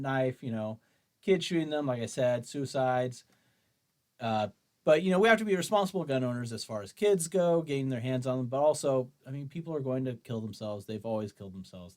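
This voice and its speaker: A man hosting an opinion show, reading aloud and reacting in an argumentative, mocking way. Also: medium pitch. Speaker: host of a commentary show on guns.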